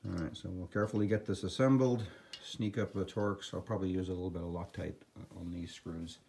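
An older man explaining engine assembly step by step in a garage workshop. He sounds practical and instructive, with quick asides as he works. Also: low voice, fairly even intonation, vocal fry, warm tone. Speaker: engine builder. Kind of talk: hands-on workshop demonstration.

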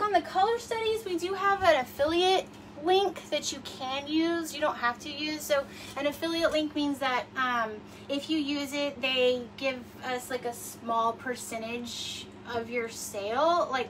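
A high-voiced woman in her forties chats her way through a livestream in her home kitchen, rambling with plenty of fillers. She sounds slightly sniffly. Her voice is a little distant from the phone, with faint room noise behind her. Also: a bit bright. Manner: chatty, rambling, many fillers. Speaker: livestream host. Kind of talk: casual livestream demo. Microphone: phone camera across the room.

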